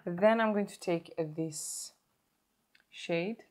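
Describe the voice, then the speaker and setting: A woman's voice: on the low side, takes it slowly, darkish tone. Chatty woman with a sore throat. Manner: chatty, self-correcting, stops to show products. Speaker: beauty vlogger. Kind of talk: casual makeup tutorial. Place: indoor home filming set.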